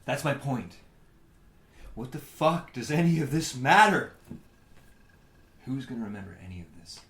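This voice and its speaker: A man's voice: very animated, normal speed, recorded from a distance. A man playing a lovesick, emotionally unstable young student writer with a melodramatic delivery in a satirical radio play. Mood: brooding, theatrical. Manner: melodramatic, swinging from hushed to shrill. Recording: echoey room, off the mic.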